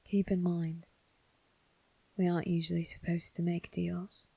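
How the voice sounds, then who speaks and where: Woman as a breathy, low-voiced sly demon servant. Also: flat delivery, measured pace, dark tone, no echo, full character voice. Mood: conspiratorial. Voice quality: breathy, whispery. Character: demon triplets disguised as servants, sly, predatory, sadistic. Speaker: voice actor. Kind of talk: ASMR roleplay, scripted.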